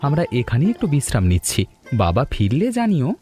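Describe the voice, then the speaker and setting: A man's voice: mid-range voice, wildly swooping pitch, fairly quick, dark tone, faint music behind. A man playing an affectionate, considerate young married son in a cartoon family story. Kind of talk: animated family story dialogue.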